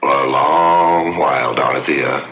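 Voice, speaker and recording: A courtly, lovestruck ghost in a 1970s radio play. His deep, bright voice swoops with ardor as he flatters. It sounds like a roomy old radio recording. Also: takes it slowly, gravelly, heavily acted. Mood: ardent, tender. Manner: courtly, ardent, dramatic. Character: smitten male ghost, courtly, ardent flatterer. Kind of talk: 1970s radio drama acting.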